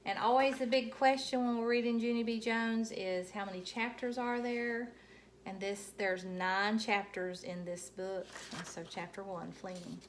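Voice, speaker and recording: A woman's voice: medium pitch, level tone, a little slow. A woman introducing and reading a children's story aloud, explaining things to young listeners, with noise in the recording. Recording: noticeable background noise.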